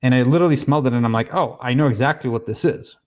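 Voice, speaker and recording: A man's voice: mid-range voice, very fast, darkish tone. A man from Serbia in his thirties, a guest on a podcast, explaining and telling stories with frequent restarts. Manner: explanatory, storytelling, many restarts. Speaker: podcast guest, cigar maker. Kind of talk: casual podcast conversation.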